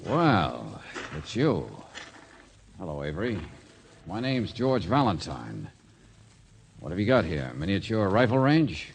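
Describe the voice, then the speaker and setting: Tough, wry American detective, low register with lively swings, clipped slang, vintage radio mystery style. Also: male voice, slow pace, pauses often, exaggerated voice. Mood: dry, unruffled. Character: wry, tough, unflappable American male private investigator. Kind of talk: 1940s radio detective drama acting. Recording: noisy old radio recording.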